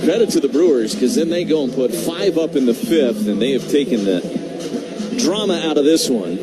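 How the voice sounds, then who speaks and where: A man doing live baseball play-by-play on radio, talking in measured short phrases with conversational asides. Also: mid-range voice, animated, loud music behind the voice. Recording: clearly noisy live radio broadcast.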